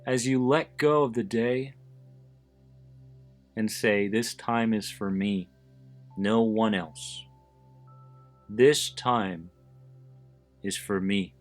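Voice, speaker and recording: A man leading a guided visualization in a deliberate, soothing manner, pausing between short phrases. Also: on the low side. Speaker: host of an anxiety support podcast, guiding listeners. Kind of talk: guided visualization, meditation narration. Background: background music.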